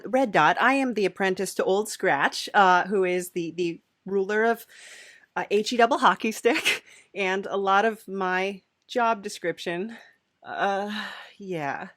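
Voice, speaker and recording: A woman playing an eager, servile devil's apprentice hosting a comedy podcast, gushing, halting and apologetic. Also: mid-range voice, fairly bright tone. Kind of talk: improvised character comedy interview.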